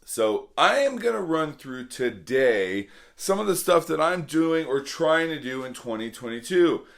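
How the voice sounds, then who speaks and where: Slightly hoarse middle-aged guy doing a casual vlog pep talk, earnest, repeats himself for emphasis. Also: on the high side, very animated, measured pace. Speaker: vlog host. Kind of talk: casual motivational talk to camera.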